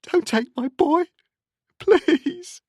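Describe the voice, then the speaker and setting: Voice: mid-range voice, extremely slow, vocal fry, dry recording, studio quality, pitched above the performer's own voice. A narrator voicing a protective, distraught working-class mother who protests and pleads. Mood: tearful begging.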